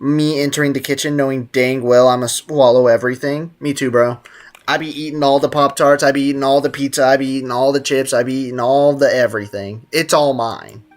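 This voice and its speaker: A man's voice: medium pitch, steady tone, brisk. A man live streaming a game with casual, slangy commentary, joking and teasing as he chats with viewers. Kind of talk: casual gaming stream commentary, reading and answering chat.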